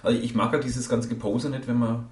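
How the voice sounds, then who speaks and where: A man's voice: medium pitch, flat delivery, brisk, darkish tone. A man with a slight cold, co-hosting a casual chat in an easygoing, self-mocking way. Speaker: podcast co-host. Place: indoor room with a camera.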